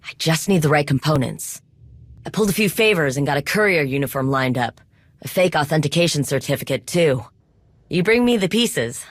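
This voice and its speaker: A woman's voice: low voice, no echo. A woman playing an earnest, anxious conspirator in a video game, speaking in an urgent, conversational way. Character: earnest, anxious conspirator planning a risky con. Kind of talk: video game cutscene acting.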